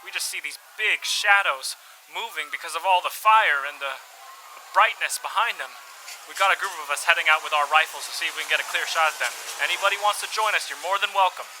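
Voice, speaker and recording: A man playing a brash, casual, excitable American caller out with a rifle in a radio drama. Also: fairly high pitch, fast talker, a little hiss or hum, poor audio. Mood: cocky. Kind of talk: radio drama phone call acting.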